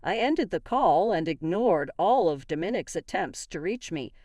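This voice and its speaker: Female story narrator, slightly low voice, lively pitch movement, dry close sound, expressive scripted delivery. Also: normal speed, no room sound. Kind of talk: scripted dramatic first-person narration.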